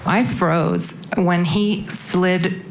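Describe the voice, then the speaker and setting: A middle-aged woman with a low voice answers questions on the witness stand. She speaks carefully and deliberately, and the courtroom mic gives her voice a roomy, echoing sound with faint noise behind it. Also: fairly even intonation. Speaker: defendant testifying. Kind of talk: courtroom testimony. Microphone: desk microphone in courtroom.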